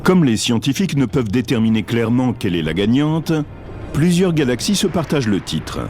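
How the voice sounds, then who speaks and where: A man's voice: medium pitch, sing-song, fairly quick. A man reading scripted narration for a science documentary. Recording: narration over a music bed. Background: clear background music.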